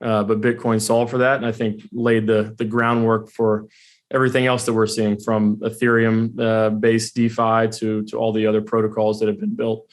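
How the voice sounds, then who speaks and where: A man's voice: on the low side, completely flat delivery, normal speed. A man hosting an interview show, asking long, winding questions. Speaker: podcast host.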